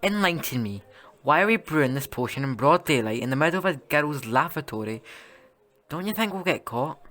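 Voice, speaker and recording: A man's voice: fairly high pitch, lively intonation, fairly quick, fairly bright tone. A man playing a sceptical, impatient schoolboy wizard in an audio drama, with a sarcastic manner. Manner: sarcastic, questioning.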